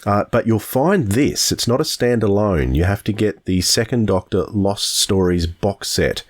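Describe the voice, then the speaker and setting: Casting: male podcast host, deep register, informal conversational delivery. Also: expressive, a little slow. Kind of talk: casual podcast chat.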